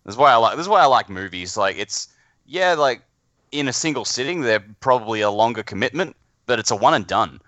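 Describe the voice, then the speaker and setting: A man's voice: mid-range voice, lively intonation, a little fast, sharp tone, dry recording, dull audio. A man chatting casually, often correcting himself mid-sentence and swearing now and then. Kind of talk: casual chat.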